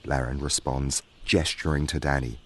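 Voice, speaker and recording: A man narrating a scripted fantasy story in a dramatic, deliberate way. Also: a bass voice, steady tone, fairly bright tone, slight background noise. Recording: music behind.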